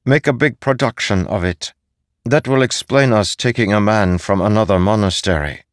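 A man's voice: lowish voice, moderate pace, dry recording, clean studio sound, pitched above the performer's own voice, lightly acted. A man voicing a young woman warrior in an audiobook, speaking directly and with assured authority. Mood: brisk, assured.

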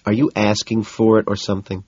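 A man's voice: fairly low pitch, little pitch movement, brisk, a little mellow, husky, dry recording, dull audio, pitched above the performer's own voice. A man voicing an exasperated, domineering young yuppie man, emphatic and sarcastic, in an audiobook.

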